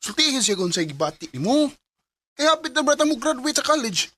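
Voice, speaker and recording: A man's voice: extremely high pitch, very animated, a little fast, pushed and bright, dry recording, exaggerated voice. An actor playing a bossy, insistent man in a Filipino radio drama. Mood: urging.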